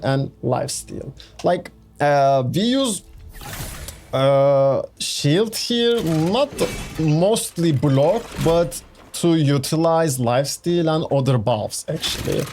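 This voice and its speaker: A man's voice: a little high, a little mellow. A young man with a Turkish accent, a gaming YouTuber, chattily walking through a game build with fillers and restarts. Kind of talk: casual game build walkthrough. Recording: faint background music.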